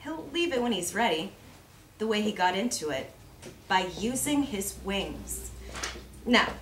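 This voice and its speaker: A woman giving a measured, contemplative live reading of reflective prose. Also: mid-range voice. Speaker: reader at a readers theater event. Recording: echoey room with faint background noise.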